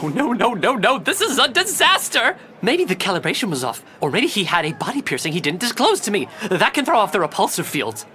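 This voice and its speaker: A proud, eccentric female scientist played by an actress speaks with fussy, pompous emphasis. Her pitch swings widely, in the exaggerated style of a game's audio drama. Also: very animated, brisk, few pauses, crowd noise, close to an everyday voice. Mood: flustered. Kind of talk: animated game-world audio drama acting.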